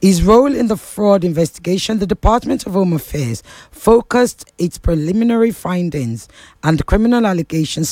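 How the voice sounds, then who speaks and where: A man's voice: sits high, mellow, no room sound. A man hosting a radio talk show, reading out reports and pressing his caller with questions. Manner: probing, cuts in with questions.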